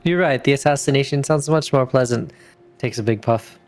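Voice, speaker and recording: A man's voice: mid-range voice, a little fast, darkish tone, soft background music. A man speaking casually as a player in an improvised tabletop roleplay.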